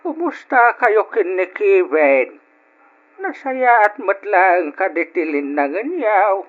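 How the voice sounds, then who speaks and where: An actor playing a concerned old grandfather in a radio drama, speaking in a grave, drawn-out way with an Ilocano accent. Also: high voice, big pitch swings, full character voice. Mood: probing, concerned. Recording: dull lo-fi old radio sound.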